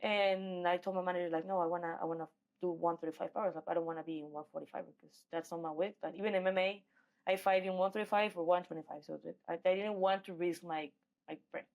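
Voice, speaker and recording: A woman's voice: on the low side, fairly even intonation, fast, lo-fi sound. A woman from Mexico with a Mexican accent, talking casually as an athlete being interviewed. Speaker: guest, professional MMA fighter.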